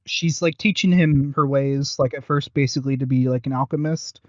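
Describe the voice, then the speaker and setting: A man co-hosting a podcast with casual, halting, rambling commentary and some swearing. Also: mid-range voice, fairly even intonation, darkish tone, no echo. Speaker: podcast co-host. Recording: dull lo-fi voice recording.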